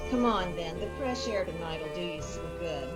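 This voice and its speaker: A woman's voice: lowish voice, normal speed, loud music behind the voice, lightly acted. A woman playing a kindly, watchful older aunt in a radio drama. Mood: caring.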